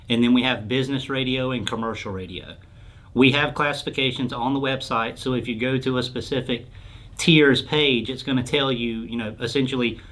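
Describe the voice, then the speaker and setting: A man's voice: medium pitch, fairly bright tone. A man answering questions as a sales expert in an informal explainer interview, speaking in a plain, explanatory way.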